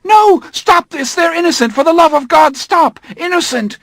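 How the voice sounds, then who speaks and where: A man's voice: very high-pitched, animated, normal speed, fairly bright tone, no room sound, studio quality, pitched above the performer's own voice, heavily acted. A man voicing a terrified young male apprentice who screams desperately in an audiobook.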